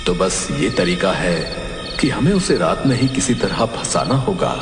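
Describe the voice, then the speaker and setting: A man playing a knowing, resolute village adviser in an animated horror story, with an echo on the voice. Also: deep voice, moderate pace. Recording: echoey with long reverb, music behind.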